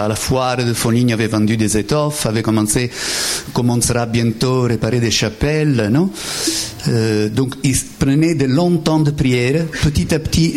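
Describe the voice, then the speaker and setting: Italian-accented friar giving a retreat talk, halting, lots of euhs and restarts, mid pitch. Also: male voice. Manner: halting, many fillers and restarts, lively asides. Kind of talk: informal spiritual teaching to lay people.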